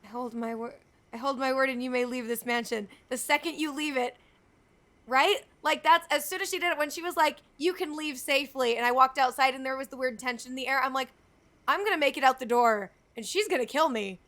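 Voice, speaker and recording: A woman's voice: high voice, fairly quick, fairly bright tone. A woman game streamer giving casual live commentary, informal and chatty with wry asides. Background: game music.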